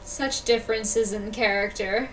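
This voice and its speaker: A woman's voice: mid-range voice, steady tone, measured pace, fairly bright tone, slight background noise. A woman doing casual live-stream commentary as she plays a video game. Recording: distant mic, faint game music behind. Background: faint game music.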